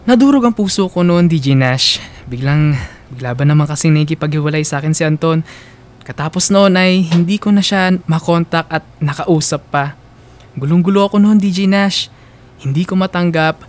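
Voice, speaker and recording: Man, somewhat high voice, radio drama narrator, emotive first-person storytelling, dramatic halts. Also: darkish tone.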